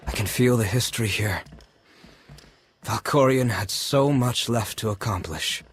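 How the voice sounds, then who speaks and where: A man playing a stern, disdainful zealot loyal to a fallen emperor in a video game, with a husky voice. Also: fairly low pitch, unhurried, lightly acted. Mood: bitter, reverent. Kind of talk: dramatic video game acting.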